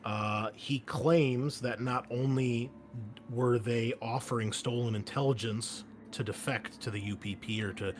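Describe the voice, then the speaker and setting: A man's voice: medium pitch, fairly even intonation, a little slow, noisy background. A young man running a tabletop roleplaying game, recapping from his notes in an easygoing way with many fillers. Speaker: game master.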